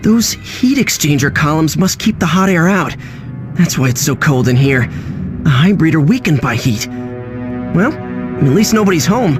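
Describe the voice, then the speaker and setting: A man's voice: fairly high pitch, big pitch swings, normal speed, music in the background. An actor playing an easygoing, confident teenage boy hero in a video game, talking in a wry, casual way.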